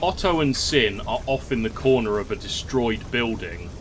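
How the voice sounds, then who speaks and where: A man's voice: mid-range voice, lively intonation, moderate pace, background noise. A man reading scripted narration for an audio drama.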